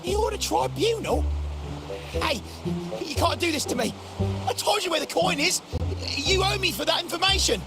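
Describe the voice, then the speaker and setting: An actor playing a caught petty thief in a video game, shifty, defiant and grumbling. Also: male voice, very high voice, expressive, normal speed, over music. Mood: indignant, pleading. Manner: grumbling, whiny, protesting.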